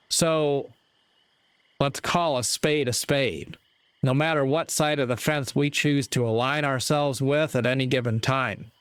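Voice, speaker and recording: Male, unhurried pace, smooth resonant voice, earnest moral reflection, deliberate pauses. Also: medium pitch, no echo. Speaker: speaker giving moral reflections. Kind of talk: reflective motivational monologue.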